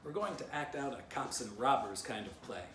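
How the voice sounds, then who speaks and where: A man's voice: mid-range voice, expressive, normal speed, fairly bright tone, reverberant, distant mic, slight background noise, pitched above the performer's own voice, natural voice. A man reading a storybook aloud as a bossy, pompous, self-important female dog with theatrical delivery. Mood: eager, bossy.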